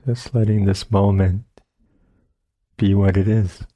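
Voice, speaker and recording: Very soft-toned, slow man guiding meditation. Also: fairly low pitch, steady tone, no room sound. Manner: gentle, unhurried, long pauses between short phrases. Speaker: meditation teacher. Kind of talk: guided meditation. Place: retreat center meditation session.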